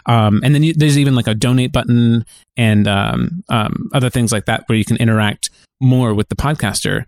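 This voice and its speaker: A man's voice: medium pitch, normal speed, vocal fry, a little mellow, no room sound, studio quality. A man co-hosting a podcast, chatting casually in loose, informal phrasing. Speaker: podcast co-host. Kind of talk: casual chat.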